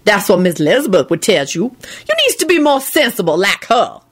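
A woman doing a loud, shrieking old cook. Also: mid-range voice, very animated, fairly quick. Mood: scolding. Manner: loud, folksy, ungrammatical talk with shrieks. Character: bossy outspoken older woman cook, folksy and grumbling.

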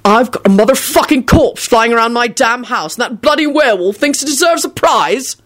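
A woman voicing a gruff, short-tempered man in a comic audiobook, snapping and threatening. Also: low-pitched, normal speed. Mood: furious.

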